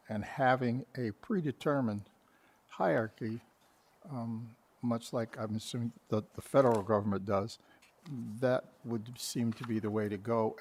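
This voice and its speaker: Man asking a thoughtful question. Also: medium pitch. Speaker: commission member. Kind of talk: public meeting question.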